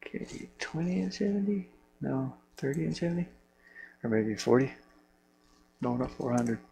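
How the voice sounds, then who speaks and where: A man's voice: mid-range voice, animated, moderate pace. A man casually thinking aloud to himself in short fragments as he works at a computer. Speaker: game developer narrating own work. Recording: clearly noisy with hum and clicking.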